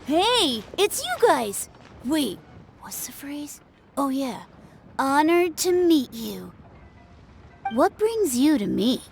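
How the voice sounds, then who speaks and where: A woman's voice: sits high, very animated, slow, long pauses, faint music behind, full character voice. An actress voicing an eager, boastful little boy in a video game, childlike, bouncy and self-important. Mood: excited, proud. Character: eager, boastful little boy playing martial arts master. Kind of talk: scripted video game voice acting.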